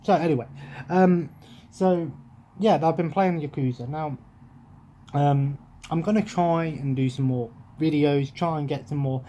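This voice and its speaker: A man's voice: a little high, measured pace, warm tone, highs cut off, a little hiss or hum. A young man with an English accent, chatting loosely to his webcam from a sofa at home, wandering off track and restarting his sentences. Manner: rambling, absent-minded, many restarts. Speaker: gaming vlogger. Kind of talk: casual chat to camera. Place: living room at home, sofa. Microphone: webcam at close range.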